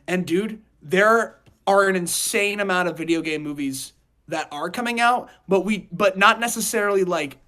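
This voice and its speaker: A man co-hosting a podcast, talking in a casual, slangy and animated way with friends. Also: sits high, moderate pace. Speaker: podcast co-host. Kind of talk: casual group podcast chat.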